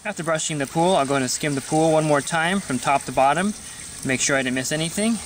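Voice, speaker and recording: A man's voice: fairly high pitch, fast talker. A pool service technician talking through his weekly routine in a plain, matter-of-fact instructional voice-over.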